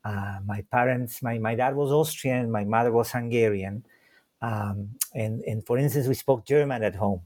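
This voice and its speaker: A man's voice: medium pitch, normal speed, darkish tone. Hesitant man from Chile, many restarts. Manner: hesitant, many repeated words and fillers. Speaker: guest scholar. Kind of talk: conversational interview.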